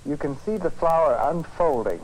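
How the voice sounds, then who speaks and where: A man, a physics professor, presenting an educational film lecture in a measured, conversational, explanatory way. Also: on the high side, expressive, darkish tone. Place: film studio. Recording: noisy 1950s educational film soundtrack.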